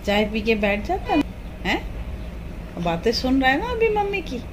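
A woman's voice: high-pitched, very animated, moderate pace. A woman talking to her pet parrot at home in doting, sing-song baby talk. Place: living room at home, indoors. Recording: home phone video with background noise.

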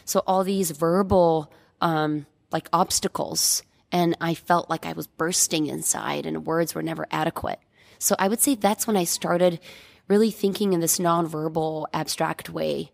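A woman's voice: deep voice, fairly even intonation, unhurried, creaky, no echo. A woman from Bosnia, a painter, talking reflectively in a casual interview and hunting for words with many fillers. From Bosnia and Herzegovina.

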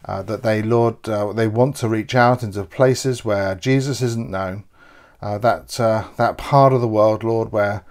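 A middle-aged English man with a lowish voice gives church notices and leads a prayer from a small home office. He rambles, stopping often on "uh" and restarting his sentences, speaking close to a webcam. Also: steady tone, a little slow, a little mellow. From England. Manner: rambling, many filler "uh"s and restarts. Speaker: church leader.

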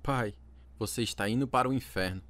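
A man's voice: mid-range voice, fairly quick, a bit bright, dry recording, clean studio sound, lightly acted. An actor playing an earnest young man telling his conversion story in an audio drama. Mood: fervent. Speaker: narrator and lead actor. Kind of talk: audio drama narration and acting. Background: guitar music.

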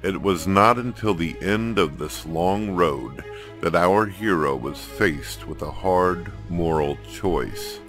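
A man delivering solemn scripted story narration in a grave, dramatic, measured manner. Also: low voice, a bit bright, soft background music.

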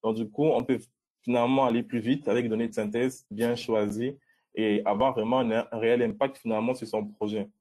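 A man's voice: medium pitch, steady tone, a little fast, mellow. A man co-presenting at an online meetup, explaining informally and somewhat hesitantly, often repeating himself. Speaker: co-presenter answering questions. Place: video call.

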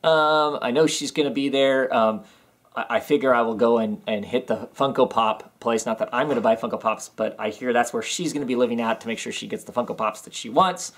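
A man in his thirties talks straight to his camera from a small room at home. He rambles in a relaxed, unscripted way and fills pauses with ums. His voice sits in an ordinary middle pitch. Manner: rambling, many ums and restarts. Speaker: comics vlogger. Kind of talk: casual rambling vlog. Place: small home room with filing cabinets, indoors.

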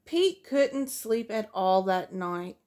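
A woman's voice: medium pitch, animated, slow pace, a little mellow. A middle-aged woman, a preschool teacher, reading a picture book aloud to children in a sing-song way, voicing each character, recorded close up in a small room.

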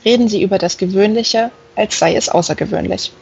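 A woman's voice: mid-range voice, a little fast, vocal fry, a little mellow, lo-fi sound, lightly acted. A woman voicing a curious, plain-spoken village woman in an audiobook, direct and inquisitive. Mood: amused, frank.

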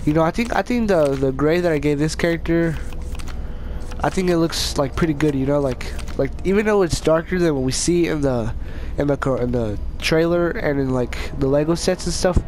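A man's voice: fairly high pitch, moderate pace, darkish tone, a little hiss or hum. Sick young man narrating a game build. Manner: rambling, many fillers and restarts. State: sick, slight cough. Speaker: YouTube gaming channel host. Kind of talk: casual gameplay commentary.

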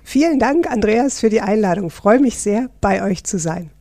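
A woman's voice: medium pitch, expressive, normal speed, warm tone, dry recording, clean studio sound. A middle-aged woman from northern Germany, a professor, explaining things in a friendly interview with some fillers. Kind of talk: interview answers.

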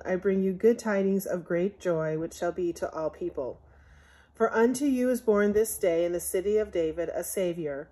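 A woman reading a Bible story aloud from a picture book in measured, scriptural phrasing, as a Sunday school storyteller. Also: fairly low pitch, darkish tone.

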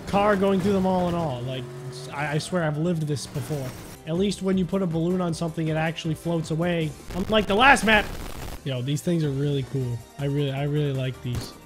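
A man's voice: on the high side, a little fast. A young adult man giving casual, joking gameplay commentary in a playful, exclamatory, mock-serious way. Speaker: gaming video host. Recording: music behind the voice.

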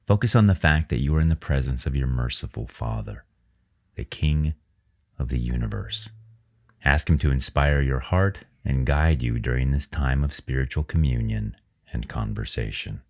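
Casting: male meditation guide, extremely low voice, measured and reverent, leaving pauses between phrases. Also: a little mellow.

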